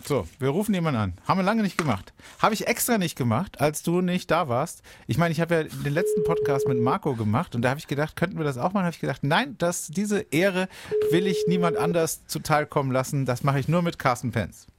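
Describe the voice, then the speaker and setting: A man's voice: a little high, lively intonation, fairly quick, heavy background noise. A man co-hosting a radio podcast, joking and playfully dramatizing. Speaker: radio host. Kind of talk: casual podcast chat. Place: studio. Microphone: studio mic.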